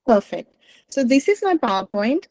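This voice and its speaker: A woman's voice: on the high side, very animated, moderate pace, a little mellow, no echo. A middle-aged woman whose first language is Gujarati, giving an academic talk over a video call in a rambling, self-correcting way. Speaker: guest speaker, academic. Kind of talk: online presentation.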